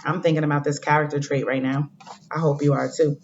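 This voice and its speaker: A woman teacher giving a read-aloud lesson to young pupils, speaking in a deliberate way and addressing the children directly. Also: deep voice, level tone, a little mellow, slight background noise. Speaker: elementary school teacher. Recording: dull lo-fi recording.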